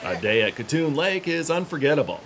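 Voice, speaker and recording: A middle-aged man hosting a fishing show, reading a smooth, upbeat scripted voice-over. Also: on the high side, sing-song, brisk, over a noisy crowd. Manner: smooth, upbeat presenter delivery. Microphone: studio voice-over. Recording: music bed under the voice.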